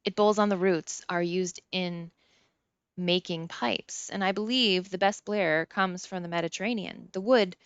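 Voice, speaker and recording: A woman's voice: lowish voice, steady tone, clear, resonant tone, dry recording, dull audio, close to an everyday voice. A woman voicing a pudgy, stuffy male psychiatrist in an audiobook, formal and politely probing. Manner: formal, measured, careful phrasing.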